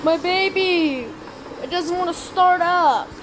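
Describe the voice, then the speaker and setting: High-voiced young woman reacting to a game. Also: slow pace, heavy background noise. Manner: dramatic exclamations, talking to herself. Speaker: gameplay streamer. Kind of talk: casual game commentary.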